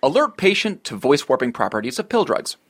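A man's voice: medium pitch, very animated, brisk, fairly bright tone. A man playing a self-important, eccentric therapist dictating notes with earnest pomposity in a comedy table read.

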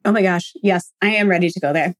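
A woman's voice: fairly low pitch, fast talker. An American woman chatting casually and informally as a podcast co-host, often dropping "like" into her sentences. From United States. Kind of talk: casual chat.

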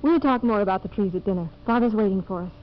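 A woman's voice: mid-range voice, fast talker, close to an everyday voice. Principled, polished young woman played by an actress, firm and sincere, mid-range voice, dull vintage radio sound. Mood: gracious. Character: earnest, principled, well-spoken young woman settler. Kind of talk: 1950s radio drama acting. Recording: noisy, muffled vintage radio recording.

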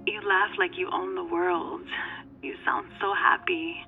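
A woman playing a seductive, sly female opportunist in an audio drama, speaking in an unhurried, teasing, sultry manner. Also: fairly low pitch, sing-song, creaky, phone-quality sound, music in the background, full character voice.

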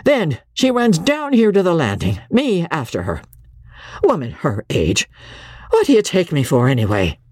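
A woman's voice: low voice, wildly swooping pitch, moderate pace, a little mellow, no room sound, studio quality, pitched above the performer's own voice, exaggerated voice. A woman doing a big, aggrieved stagehand. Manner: heavy-breathing, complaining. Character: hulking, aggrieved male stagehand.

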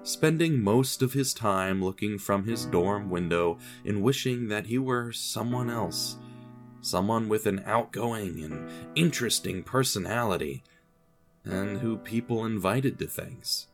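A man's voice: fairly low pitch, lively intonation, measured pace, faint music behind. A man reading scripted story narration with a dry, wry, understated manner.

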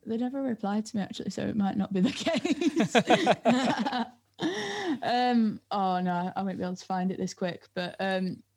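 A young woman speaks with a North East English accent at a middling pitch. She is chatting casually and informally, with plenty of slang and a giggly manner. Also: measured pace, a little mellow. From North East England. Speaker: DJ and music producer. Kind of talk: casual chat.

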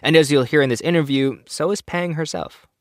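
A man's voice: a little high, fairly quick, dry recording, clean studio sound. An American man hosting a podcast, introducing things in an informal, conversational way. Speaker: podcast host. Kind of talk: casual scripted podcast introduction.

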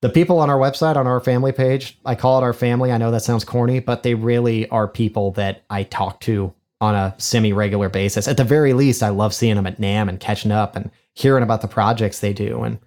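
A man's voice: medium pitch, fairly even intonation, brisk, few pauses, a little mellow. A man with a nasal, honky voice, talking as a podcast guest in a chatty, self-deprecating way, recorded on a studio condenser mic. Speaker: podcast guest, microphone designer. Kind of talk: casual interview chat. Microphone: studio condenser mic in figure-eight pattern.

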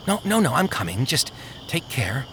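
A man voicing a timid, nervous male robber who frets and questions in a raised, hushed voice. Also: mid-range voice, sing-song, moderate pace, a little hiss or hum. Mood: anxious. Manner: fretful, questioning.

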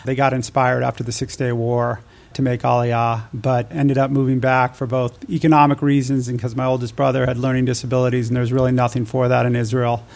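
A man's voice: medium pitch, flat delivery, a little fast, creaky, darkish tone. The level-toned American man you would hear as a podcast guest, recounting his life story conversationally with little rise or fall. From United States (New Mexico and Nevada).

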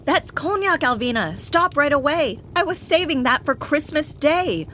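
A fairly high-voiced, exasperated woman scolds in rhyme in a comic audio drama. There is faint noise and ambience behind her. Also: very animated, normal speed, sharp tone, full character voice. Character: exasperated woman wanting a quiet Christmas.

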